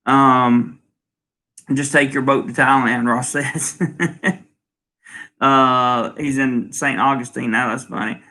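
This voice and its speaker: Male stream host, bright and forceful, loose and rambling as he answers comments. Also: mid-range voice, steady tone, slow pace, long pauses. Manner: rambling, lots of ums and restarts. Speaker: channel host. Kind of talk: casual livestream, reading viewer comments. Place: home in Thailand.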